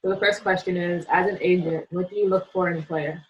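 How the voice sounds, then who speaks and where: A woman's voice: on the low side, steady tone, a little fast, muffled recording. A woman, a former Olympic basketball player, co-hosting casually and affirming her guest. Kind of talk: casual interview questions.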